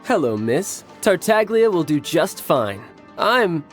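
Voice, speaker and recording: A man playing a suave, teasing young fighter in a video game, smooth and playful, with music behind. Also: fairly high pitch, very animated, slow pace. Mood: breezy, mock hurt. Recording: loud music under the voice.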